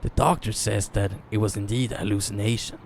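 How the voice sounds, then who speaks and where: A man narrating a story as a dramatic reading, theatrical in manner with deliberate pauses. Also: on the low side, steady tone, vocal fry, a little hiss or hum.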